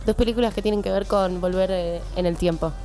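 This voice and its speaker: A woman's voice: mid-range voice, steady tone, brisk. A woman with a Rioplatense Argentine accent chatting casually as a film columnist on a radio show.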